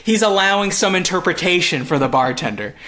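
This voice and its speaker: Male podcast co-host from Montreal, highish forceful voice, very expressive, joking casual banter. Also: fairly quick. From Montreal, Canada. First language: English. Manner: animated, joking, swears casually. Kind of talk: casual comedic podcast chat.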